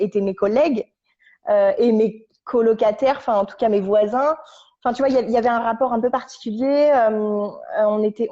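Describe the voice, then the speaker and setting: A young woman, a podcast guest, casually telling her story at home on a couch, halting and restarting as she searches for her words. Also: on the high side, warm tone. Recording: dull lo-fi recording.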